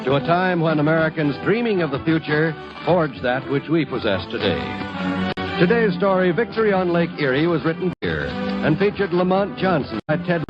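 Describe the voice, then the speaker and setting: A male 1950s radio announcer with a slightly high voice reads formally and declaratively. Music plays clearly behind him, and the old recording is muffled.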